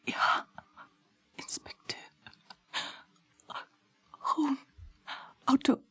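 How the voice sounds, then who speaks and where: An actress playing a dying hospital patient in radio drama, gasping out broken, repeated words in pain with a weak, breathy whisper. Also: female voice, fairly bright tone, no echo. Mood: weak, anguished. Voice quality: breathy whisper, barely voiced. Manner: halting, fragmented, repeating words.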